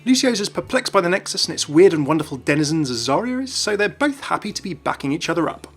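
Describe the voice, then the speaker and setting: A man's voice: on the high side, sing-song, fast talker, husky, faint music behind. A man hosting a gaming video with enthusiastic scripted narration.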